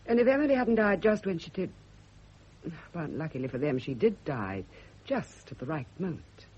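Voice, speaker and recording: Polite genteel lady in old radio play, bit husky, lively intonation, probing. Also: low-pitched, moderate pace, full character voice. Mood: probing unease. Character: genteel, poised single woman, politely probing. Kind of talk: 1970s radio drama acting. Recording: dull lo-fi old radio recording.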